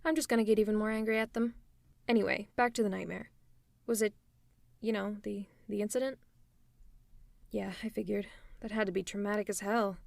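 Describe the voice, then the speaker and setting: A woman playing a tough but caring female supervillain in an ASMR roleplay, speaking in a conversational, reassuring and gently teasing way. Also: mid-range voice, vocal fry, no echo, close to an everyday voice. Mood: indignant, then earnest, supportive. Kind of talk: ASMR roleplay audio drama.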